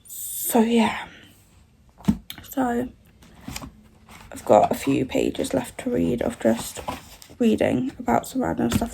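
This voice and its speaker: A twenty-year-old woman from the UK, chatting casually in a vlog while she has a cold. Her voice sounds rough and odd, and she rambles with lots of fillers. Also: on the high side, warm tone, slight background noise, rough recording. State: congested with a cold. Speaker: vlogger.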